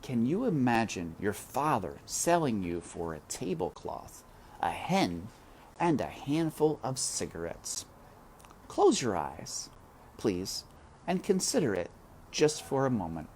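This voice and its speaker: Man reading children's book aloud, slow, lively expressive pitch, dramatic, talks to listener. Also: slight background noise. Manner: dramatic, addresses listener directly, slow and deliberate. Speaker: reader.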